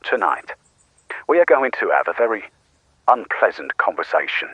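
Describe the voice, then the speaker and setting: An actor playing a cold, sarcastic loan shark who threatens in a clipped way. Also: male voice, sits low, big pitch swings, normal speed, breathy edge, dry recording, dull audio, full character voice. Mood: menacing. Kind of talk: audio drama acting.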